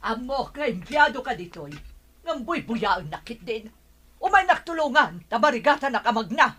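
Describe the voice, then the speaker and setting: A woman's voice: a little high, big pitch swings, normal speed, a bit bright, husky, heavily acted. A woman playing a haughty, demanding older mother of the boss in an audio drama, curt and scolding. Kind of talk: Filipino audio drama acting.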